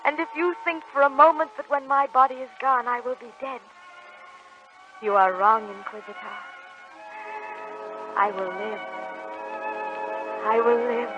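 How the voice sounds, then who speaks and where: An actress playing a devout young peasant heroine, anguished yet defiant, in a fervent old-time radio drama style. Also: female voice, a little high, steady tone, a little slow, long pauses, a bit bright, faint music behind. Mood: fervent defiance. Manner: fervent, theatrical. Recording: dull old radio recording.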